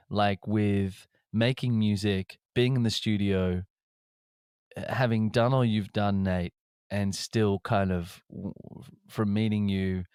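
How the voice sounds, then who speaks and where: A man's voice: lowish voice, darkish tone, clean tone, no room sound, clean studio sound. A man interviewing two musicians in a casual, rambling, enthusiastic way.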